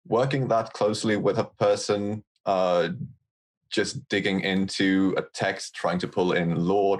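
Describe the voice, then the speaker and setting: A man chatting casually as a guest on a podcast. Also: deep voice. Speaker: guest, audio drama lore editor.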